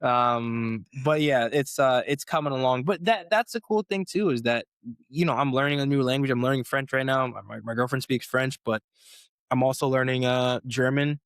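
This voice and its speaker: Rambling young American footballer, dry sound. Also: male voice, medium pitch, moderate pace, clear, resonant tone, no echo. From United States. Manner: rambling, many restarts and fillers. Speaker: professional footballer, podcast guest. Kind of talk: casual interview chat.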